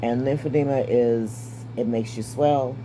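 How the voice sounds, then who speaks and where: Raspy, breathless woman in her fifties. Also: extremely low pitch, fairly even intonation, unhurried, mellow, a little hiss or hum, rough recording. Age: 50s. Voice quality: raspy, strained voice. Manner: candid, wry, stays positive. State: short of breath. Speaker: vlogger. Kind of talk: casual personal update to camera.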